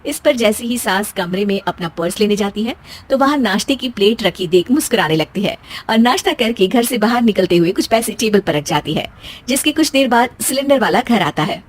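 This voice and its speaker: A woman's voice: mid-range voice, expressive, brisk. A woman storyteller reading scripted narration for a family tale.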